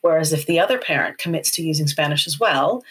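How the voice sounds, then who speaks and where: A woman's voice: low-pitched, expressive, fast, fairly bright tone, slightly rough. A woman speaking as an expert guest, explaining things earnestly and returning again and again to her key points. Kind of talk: explanatory conversation.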